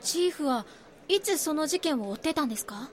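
A woman's voice: sits high, lively intonation, a little fast, a faint crowd. A woman playing an ambitious, insistent young rookie magazine reporter in a Japanese voice drama. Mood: curious. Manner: earnest, insistent.

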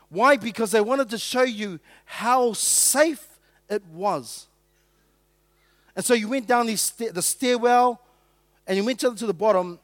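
A man born in Samoa, preaching to his church through a string of personal stories. His voice sits high for a man, and he keeps things light, teasing the congregation and asking them questions as he goes. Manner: storytelling, joking with the congregation. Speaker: pastor.

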